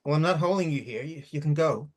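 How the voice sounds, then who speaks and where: A man's voice: fairly high pitch, fast talker, a little mellow, natural voice. A man playing a bitter, despairing man in his twenties in a drama reading, curt and defensive. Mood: exasperated. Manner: curt, guarded, irritated.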